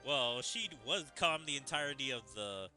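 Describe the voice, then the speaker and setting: A man with a very bright, forward voice talks through a game he is playing. His pitch sits in the middle, and he thinks aloud casually while soft game music plays behind him. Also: expressive, normal speed. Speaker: video game streamer. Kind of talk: reading game dialogue aloud with running commentary. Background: video game music.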